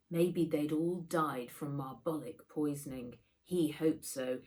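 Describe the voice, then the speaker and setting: A woman reading a children's adventure novel aloud, in measured, dramatic storytelling. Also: low-pitched, rough recording. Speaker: author reading own book aloud. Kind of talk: scripted audiobook narration for children.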